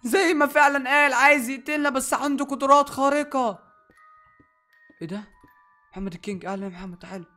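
Young Egyptian male gamer host, very fast, high and sharp, excitable, repetitive bursts. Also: soft background music. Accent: Egyptian Arabic. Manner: excitable, repeats words rapidly. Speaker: gaming YouTuber, host. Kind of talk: excited gameplay commentary with friends.

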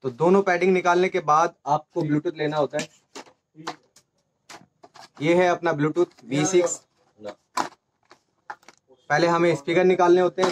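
Man, thirties, tutorial presenter. Slightly high voice, step-by-step delivery, faint room noise on camera mic. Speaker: presenter of a motorcycle gear channel. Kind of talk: hands-on tutorial explanation. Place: small indoor office or shop room. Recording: faint background noise with clicks.

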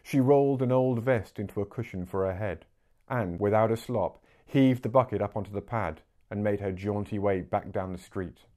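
A man in his forties reading a story aloud as an expressive audiobook narrator. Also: lowish voice, normal speed. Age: 40s. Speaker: book narrator. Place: small living room, indoors.

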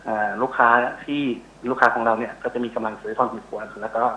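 Middle aged guy expert on a phone interview, sharp bright voice, explaining stuff, bit hesitant. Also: mid-range voice, level tone, fast, muffled recording, a little hiss or hum. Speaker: interview guest, university researcher. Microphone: telephone call.

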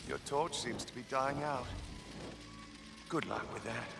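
An actor playing a smug, menacing video game villain who speaks with polite, sardonic condescension. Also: male voice, medium pitch, measured pace, gravelly, loud music behind the voice. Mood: mocking. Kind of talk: video game cutscene acting.

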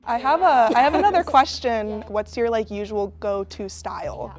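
A woman's voice: a little high, normal speed. An eighteen-year-old woman from Los Angeles chatting with a group, curious and upbeat. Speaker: reality show contestant.

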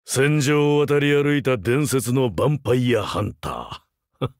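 Rugged older game hero, vampire hunter turned teacher, laconic, fatherly, widely swinging pitch, dry studio sound. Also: animated, a little slow, no room sound. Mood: wry, evasive. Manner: wry, laconic, gruff but fatherly. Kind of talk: Japanese mobile game character voice lines.

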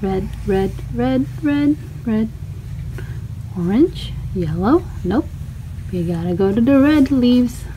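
A woman's voice: a little high, animated, slow pace, lo-fi sound, a little hiss or hum. A woman reading a children's storybook interactively, with a sing-song, encouraging manner for young listeners. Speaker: storybook read-aloud host.

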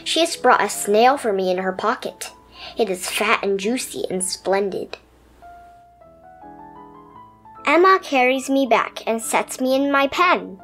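Woman reading as a high-voiced, dreamy little turtle. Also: moderate pace, soft background music. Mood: earnest, amazed. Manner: expressive storybook first-person telling. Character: dreamy, adventurous little pet turtle, earnest and easily amazed.

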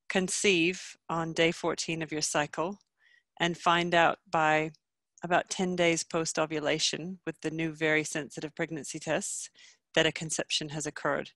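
A Canadian woman in her thirties talks through a medical webinar on a headset, friendly and full of ums. Her pitch stays fairly flat. The sound is dry and close. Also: no echo. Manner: friendly, many ums, self-deprecating. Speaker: obstetrician giving a webinar. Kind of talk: medical lecture. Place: small home room, video call.

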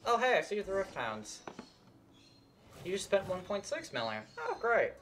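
A man's voice: sits high, huge pitch swings, moderate pace, fairly bright tone, soft background music. A young man giving casual live game commentary, rambling and muttering to himself. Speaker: game streamer. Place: indoor room at a desk.

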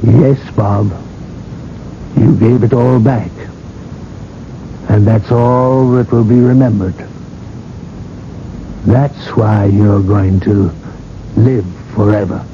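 An actor in a radio drama playing an earnest friend who consoles gently and with emphasis. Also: male voice, lowish voice, steady tone, slow, long pauses. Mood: consoling. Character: earnest, consoling male friend of dying tycoon. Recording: dull, slightly noisy old radio broadcast.

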